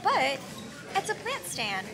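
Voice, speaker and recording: A woman's voice: high-pitched, wildly swooping pitch, takes it slowly, music in the background, crowd noise. A woman in her twenties chatting casually as the host of a shopping vlog. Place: large retail store. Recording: busy store background noise.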